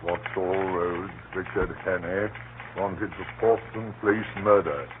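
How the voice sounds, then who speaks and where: Slow, official police bulletin announcer. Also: male voice, low-pitched, fairly even intonation. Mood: official, flat. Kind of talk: 1930s radio acting. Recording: noisy, tinny 1930s radio broadcast.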